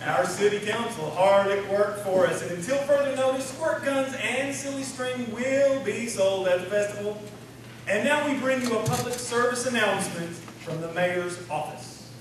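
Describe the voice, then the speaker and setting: Man playing a high-voiced folksy radio host. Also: normal speed, close to an everyday voice. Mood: folksy, presenting. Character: folksy small-town male radio show host. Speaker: master of ceremonies. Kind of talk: live radio show announcing. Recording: echoey hall, distant mic, faint noise.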